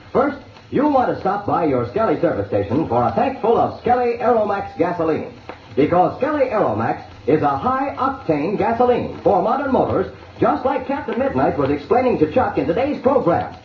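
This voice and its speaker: High-pitched, animated American radio serial announcer, man. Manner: chummy, dramatic, emphatic. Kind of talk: 1940s radio serial announcing, addressed to children. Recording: echoey, noisy, dull 1940 radio transcription.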